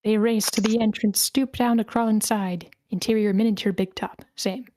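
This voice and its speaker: A woman's voice: mid-range voice, fairly even intonation, moderate pace, mellow. A woman reading a screenplay's stage directions as the narrator in scripted narration.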